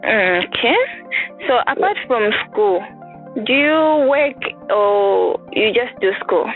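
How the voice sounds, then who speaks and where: A woman's voice: on the high side, expressive, takes it slowly. A woman interviewing a guest, asking loosely worded questions that she sometimes restarts. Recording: tinny phone-like line, faint music.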